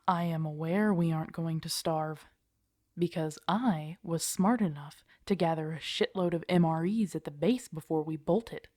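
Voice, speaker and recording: A woman's voice: sits low, measured pace, darkish tone, no room sound, clean studio sound. A woman acting in an audio roleplay as a grumpy, protective zombie apocalypse survivor, blunt and sardonic, talking intimately to her companion. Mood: grumpy, exasperated.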